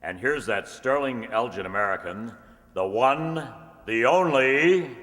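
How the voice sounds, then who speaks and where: A man playing a polished, upbeat radio announcer, pitching products and presenting the show in formal 1940s style. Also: lively intonation, unhurried, heavy background noise, natural voice. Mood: grand, promotional. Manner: formal, salesy. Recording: reverberant hall recording.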